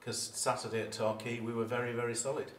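A man's voice: mid-range voice, level tone, fast talker. A male interviewer asking a football manager brief, conversational questions after a match. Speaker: club media interviewer. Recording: echoey room with faint hiss.

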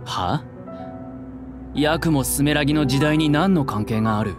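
A man's voice: fairly high pitch, unhurried, over music, close to an everyday voice. An actor voicing a proud, prickly young man with a brusque manner in a Japanese anime game. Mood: irritated disbelief.

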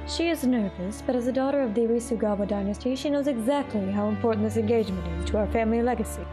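A woman's voice: on the high side, quick pace, close to an everyday voice. A woman voicing a domineering aristocratic mother in an anime fandub, speaking formally with composed, polite condescension. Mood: icily polite. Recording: music behind the voice.

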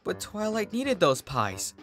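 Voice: medium pitch, wildly swooping pitch, measured pace, a bit bright, soft background music, voice pushed up. A narrator voicing a put-upon young male cartoon dragon, plaintive and exasperated.

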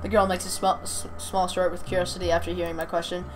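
Low-pitched woman stumbling through a story aloud. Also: level tone, fast, soft background music. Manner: stumbling over words and rereading them. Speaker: amateur reader of a visual novel. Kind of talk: reading a visual novel aloud with off-script commentary.

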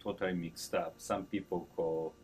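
A man in his fifties from Japan with a Japanese accent, answering interview questions in broken English with chuckles and lively gestures. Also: low voice, normal speed, crowd noise. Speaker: chef being interviewed. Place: indoor store event, seated before a branded backdrop.

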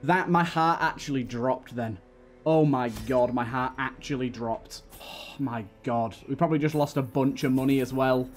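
A man's voice: mid-range voice, moderate pace. A man giving casual video game commentary, thinking aloud and often repeating himself. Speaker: let's play host. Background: game music.

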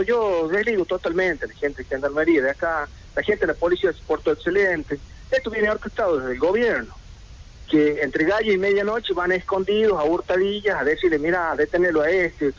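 A middle-aged man with an Argentine accent, making an indignant protest statement, firing rhetorical questions one after another. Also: high voice. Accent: Argentine Spanish. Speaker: merchant speaking to local radio. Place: indoors, seated in red armchair. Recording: lo-fi radio broadcast audio.

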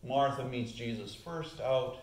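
A man's voice: unhurried, a little mellow. Preaching man in an echoey church. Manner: earnest preaching, rising in pitch and volume on key points. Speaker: pastor. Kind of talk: sermon. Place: church sanctuary, at the lectern. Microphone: far camera.

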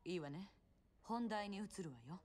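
A woman acting a cool, bossy young street-racing guide in a Japanese game dub, brisk and curt. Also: mid-range voice, expressive, a little mellow, close to an everyday voice.

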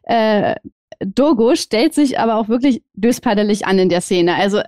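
A woman with a mellow, dark-toned voice shares opinions in a casual panel. Her pitch is average and her phrasing informal. The recording is very dry. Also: expressive, moderate pace, no room sound. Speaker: podcast panelist reviewing audio dramas.

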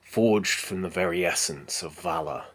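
A man playing a resolute, battle-worn armored knight in a dramatic film monologue, declaiming slowly and solemnly with breathy phrase endings. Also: low voice, a bit bright, heavily acted. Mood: grim, foreboding.

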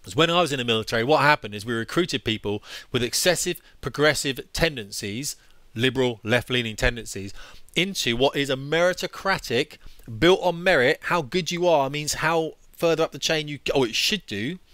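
Man in his forties, headset mic, quick bright ranting delivery, dry close sound. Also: medium pitch, expressive, no room sound. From United Kingdom. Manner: indignant, ranting, emphatic. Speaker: YouTube commentator, former fighter pilot. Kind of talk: opinionated commentary over on-screen articles.

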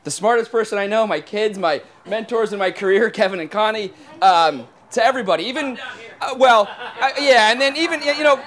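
A man stands up at a wedding dinner to speak without notes. His voice is high for a man. He is reflective and hesitant, with many pauses. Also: expressive, fairly bright tone. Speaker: wedding guest giving a toast. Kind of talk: impromptu toast.

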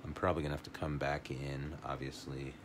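A middle-aged man teaching a watercolor lesson live, thinking aloud in an unhurried way as he paints at a table. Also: extremely low pitch, flat delivery, noisy background. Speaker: art teacher.

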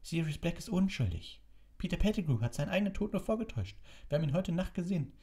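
A man's voice: on the high side, big pitch swings, quick pace, darkish tone, pitched above the performer's own voice. A man voicing an earnest, desperate, hot-headed teenage schoolboy in a storybook, urgent and insistent. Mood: desperate pleading.

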